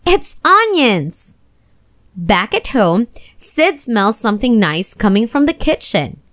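Slow-paced, very lively woman storyteller. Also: mid-range voice, dry recording, clean studio sound. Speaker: storyteller reading a children's book. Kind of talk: animated read-aloud narration.

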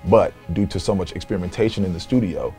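A man's voice: deep voice, animated, fast, warm tone, soft background music. A young American man hosting with scripted educational narration. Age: young adult. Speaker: host. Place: small home-style music studio indoors.

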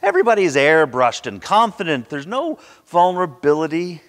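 A man in his sixties preaching a sermon with theatrical, emphatic delivery and dramatic pauses, recorded in a church. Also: on the high side, huge pitch swings, a bit bright. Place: large church sanctuary, indoors at a pulpit. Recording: reverberant church hall.

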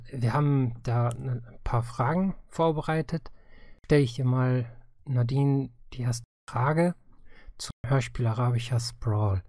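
A man's voice: medium pitch, darkish tone, dry recording, studio quality. A man conducting a casual interview in a conversational way, putting questions to his guest.

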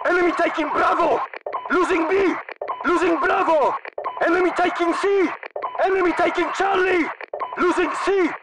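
An actor playing a stern, fervent Soviet special forces announcer in a video game, with a Russian accent and clipped, commanding delivery. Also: male voice, very high voice, heavy background noise. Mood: urgent, alert. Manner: clipped, commanding, urgent barked phrases.

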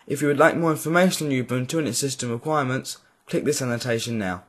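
A man giving a clear step-by-step voice-over for a software tutorial. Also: medium pitch, fast. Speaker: tutorial creator. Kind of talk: screen-recorded software tutorial.

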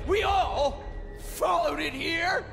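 A man playing a sadistic, gloating wasteland villain in a video game, cackling and taunting theatrically with drawn-out shouts. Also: very high voice, vocal fry, soft background music, heavily acted.

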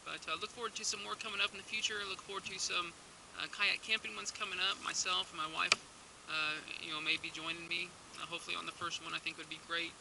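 Young man, kayak vlogger, higher voice, gravelly edge, loud wind and water noise, boat-mounted camera outdoors. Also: fast talker, few pauses. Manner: relaxed, rambling, many restarts and fillers. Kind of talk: casual outdoor vlog talk. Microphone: action camera mounted on the kayak.

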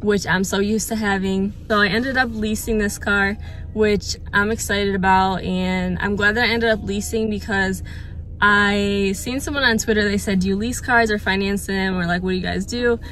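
A woman's voice: medium pitch, fairly even intonation, moderate pace, a bit bright, soft background music. A woman in her twenties chatting to camera from inside a parked car, earnest and full of fillers and repeats. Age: 20s. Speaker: vlogger. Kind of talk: casual chat to camera. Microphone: phone held at arm's length or mounted on dashboard.

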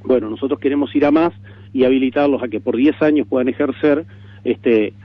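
A man with a Uruguayan accent explaining proposals in a radio interview, deliberate and repeating words for emphasis. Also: mid-range voice, dry recording. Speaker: political interviewee. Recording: narrow tinny AM radio stream.

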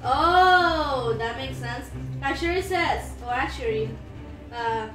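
A woman's voice: a little high, measured pace. A giggly woman co-hosting a casual live stream, reading out and answering viewer comments, recorded in a small room. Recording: roomy, distant mic. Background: faint music.

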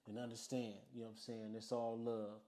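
A mid-pitched American man hosts a laid-out casual interview in a roomy space. He speaks in slang, backing up his guest and dropping in frequent tag phrases. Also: monotone, a little fast, dark tone. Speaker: interview host. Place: small music studio room. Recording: roomy indoor echo.

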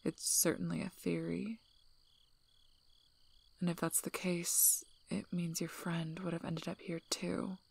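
Woman acting a female werewolf pack leader, gravelly half-whisper, very even pitch, guarded, dry, unhurried, ASMR role-play. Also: heavily acted. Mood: low, softening. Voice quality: breathy, husky, half-whispered. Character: gruff, guarded female werewolf pack alpha, wryly protective.

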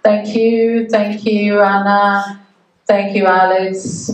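Woman interpreter, very slow and careful; video-call audio, distant and echoey in the room. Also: mid-range voice, level tone. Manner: measured and careful, pausing between phrases. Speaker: interpreter voicing a remote speaker. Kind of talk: first-person interpretation of a talk. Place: remote feed played into a lecture room.

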